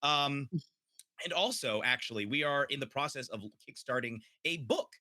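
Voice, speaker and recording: Bright, animated man with higher voice. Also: normal speed. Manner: enthusiastic, informal. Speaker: podcast co-host and guest player. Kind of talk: casual chat and plugs.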